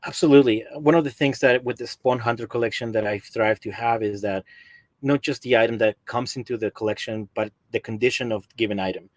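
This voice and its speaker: A man's voice: mid-range voice, fairly even intonation, brisk, no room sound. A middle-aged man with a Peruvian accent, chatting casually as a podcast guest about his hobby. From Peru. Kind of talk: casual chat about collecting. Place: basement room at home in a video call.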